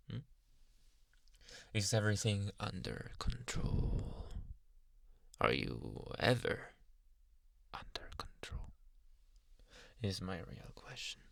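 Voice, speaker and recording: A man playing a possessive yandere psychiatrist in an ASMR roleplay, soft-spoken, polite and quietly menacing, with hushed, insinuating questions. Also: fairly low pitch, gravelly, no room sound, studio quality, heavily acted. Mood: soft, probing. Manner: slow, hushed, insinuating, many pauses and questioning hums. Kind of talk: ASMR roleplay, close whispering.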